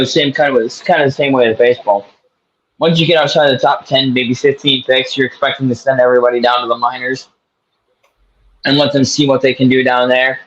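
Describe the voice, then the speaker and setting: A man co-hosting a casual sports talk show, chatting in an animated, joking way. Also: mid-range voice, muffled recording. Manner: animated, slips into a mock New York accent. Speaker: co-host.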